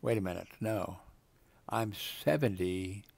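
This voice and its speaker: A gravelly-voiced man in his seventies talks through what he finds on his computer screen, drifting from point to point with plenty of "uh" and "you know". His voice sits fairly low, and the recording is close and dry, with no room sound. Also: measured pace, a little mellow, no room sound. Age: 70s. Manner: meandering, many fillers and trailing asides. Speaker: video blogger. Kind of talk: rambling casual commentary while reading web pages. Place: home desk with computer.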